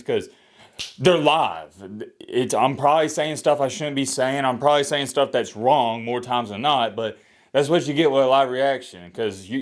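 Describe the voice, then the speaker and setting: A man, rambling through casual sports commentary to his viewers with lively, rising emphasis and the odd sigh. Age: 20s. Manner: animated, rising pitch when emphatic, sighing. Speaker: sports commentator and fan vlogger.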